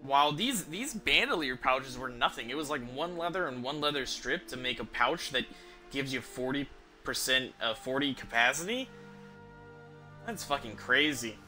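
A man in his thirties streams a game with a very bright, forward voice. He thinks aloud casually and swears freely. Game music plays behind him. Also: mid-range voice, expressive, normal speed. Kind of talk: casual gameplay commentary. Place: indoors at home, streaming setup.

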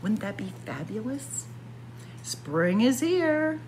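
A woman reading a picture book aloud to young children in an expressive manner, addressing them directly as friends. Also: a little high, slow, a little hiss or hum, poor audio. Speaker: library story time reader. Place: indoors in a library, by bookshelves.

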